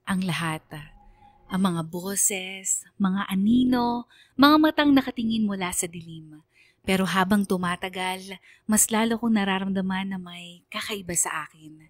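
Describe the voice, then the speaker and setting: A woman's voice: mid-range voice, measured pace, no echo, studio quality. A woman radio DJ delivering dramatic scripted story narration. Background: music.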